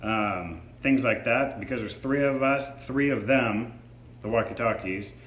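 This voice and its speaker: A man's voice: mid-range voice, measured pace, fairly bright tone. A man reviewing a product in a casual, hands-on demo, explaining features informally. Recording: echoey room with faint background noise.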